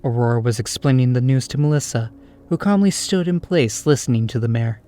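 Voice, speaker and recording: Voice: mid-range voice, a little fast, dark tone, no echo. A narrator reading scripted storybook prose for an audio drama, over music.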